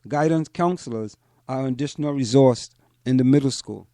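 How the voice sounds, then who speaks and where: A man from Trinidad and Tobago, speaking as a show host in deliberate commentary and repeating phrases for emphasis. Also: mid-range voice, mellow, no room sound. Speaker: host of a community parents' talk show. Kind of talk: spoken commentary to listeners.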